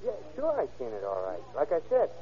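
An actor playing an eager working-class street witness in a 1940s radio drama, talking in a folksy, ungrammatical way. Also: male voice, fairly high pitch, huge pitch swings, a little fast, warm tone. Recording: dull lo-fi old radio broadcast.

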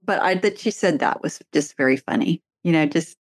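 A woman's voice: low voice, moderate pace, no room sound. Casting: middle-aged woman host, chatty storyteller, dry close recording. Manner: chatty storyteller, laughs easily. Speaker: podcast host, therapist and author. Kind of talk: casual conversation.

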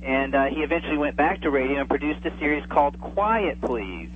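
A man's voice: fairly high pitch, animated, brisk. A man chatting casually and enthusiastically as a guest on a radio interview, calling in by telephone. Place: remote, calling in to a radio show. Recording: tinny phone line with noticeable hum.

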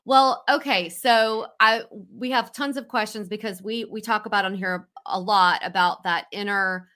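A woman's voice: medium pitch, steady tone, moderate pace, pushed and bright. A woman hosting a podcast, chatting casually and in a friendly way with her guest, often saying okay. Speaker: podcast host. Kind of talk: casual interview chat.

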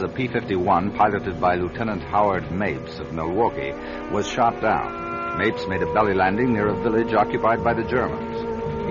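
A man's voice: lowish voice, lightly acted. An actor playing a driven male network journalist who narrates his story in an earnest, urgent way, in a radio drama. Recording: muffled old radio broadcast with music.

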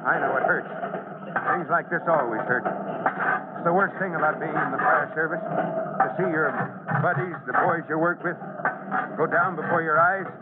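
A man acting a fatherly, commanding fire chief in an old-time radio drama, speaking plainly and with steady authority. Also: on the high side, normal speed, long pauses, lightly acted. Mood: grave, consoling. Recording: tinny, noisy vintage radio recording.

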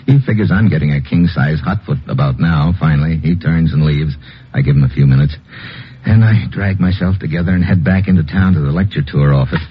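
An actor playing a tough, wry undercover agent, clipped and wisecracking, in 1950s radio noir style. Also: male voice, extremely low pitch, brisk, husky. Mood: tense narrating. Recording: muffled, noisy old radio recording.

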